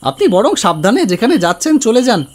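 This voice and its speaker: A man's voice: very high-pitched, big pitch swings, fairly quick, darkish tone, pitched above the performer's own voice, heavily acted. A man voicing a wary village tea-stall keeper who gives ominous cautions in a cartoon horror story. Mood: cautioning.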